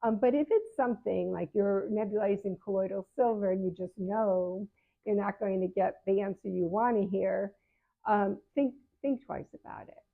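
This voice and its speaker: A woman's voice: medium pitch, normal speed, gravelly. 70 yr old woman chatting advice to camera, frank, restarts a lot, sounds like a phone line. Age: 70s. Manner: conversational, self-correcting, frank. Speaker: patient advocate and coach. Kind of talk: casual advice talk to camera.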